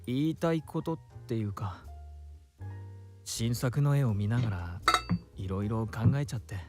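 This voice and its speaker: An actor playing a friendly, caring, effeminate young man with soft, feminine phrasing and an expressive lilt. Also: mid-range voice, fairly quick, soft background music, natural voice. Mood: friendly, concerned.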